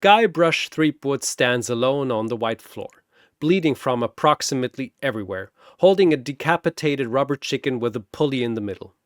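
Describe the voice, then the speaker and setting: A man's voice: mid-range voice, lively intonation, moderate pace, no room sound, clean studio sound. A man narrating a scripted story in a wry, theatrical way.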